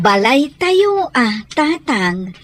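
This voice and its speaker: A woman playing a kindly, dutiful grown daughter in a radio-style drama, gentle and respectful. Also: high-pitched, very animated, very slow, exaggerated voice. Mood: affectionate. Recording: faint background noise.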